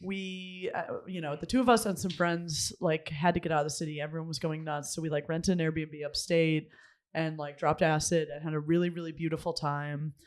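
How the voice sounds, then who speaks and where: A woman co-hosting a podcast who has a low voice and tells rambling stories in casual chat, with many fillers. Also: steady tone, brisk. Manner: chatty storyteller, rambling with fillers. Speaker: podcast co-host.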